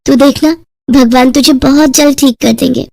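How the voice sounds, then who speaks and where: A woman's voice: sits high, fairly even intonation, normal speed, creaky, a little mellow, dry recording. A woman voicing a devout, reassuring mother with a comforting manner, in a moral story. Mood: soothing.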